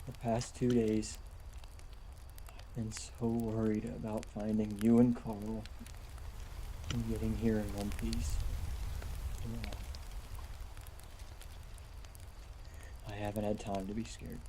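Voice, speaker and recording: An actor playing a weary, trusting husband and survivor in a table read, with a casual, understated delivery. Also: male voice, fairly low pitch, monotone, unhurried, long pauses, mellow, a little hiss or hum, lightly acted, low-quality recording. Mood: weary, shaken.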